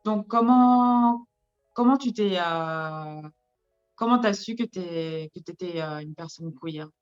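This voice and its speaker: A woman's voice: fairly low pitch, takes it slowly, clear, resonant tone, soft background music. Woman interviewer, dull off-mic sound. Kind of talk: interview questions.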